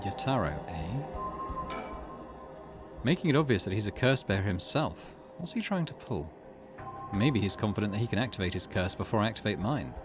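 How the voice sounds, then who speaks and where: A man hosting a let's play, reading a visual novel aloud and thinking out loud in between. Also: lowish voice, very animated, normal speed. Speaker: gaming channel host. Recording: music under the voice. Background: game music.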